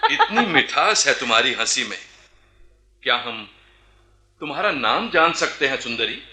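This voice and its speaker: An actor playing a proud, impassioned young Indian ruler in a theatrical Hindi radio play, with a grand, deliberate, declamatory delivery. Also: male voice, mid-range voice, very animated, sharp tone. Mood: startled, curious.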